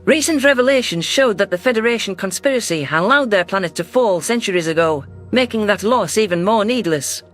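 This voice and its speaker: A man narrating a science fiction story in an even, scripted storytelling delivery. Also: sits high, fairly quick, few pauses, soft background music.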